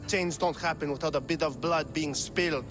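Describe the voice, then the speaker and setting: An actor playing a rough working-class thug of ancient Athens in a game cutscene, blunt and coarse. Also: male voice, fairly high pitch, moderate pace, natural voice. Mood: defiant. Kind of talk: video game cutscene acting. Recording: music under the voice.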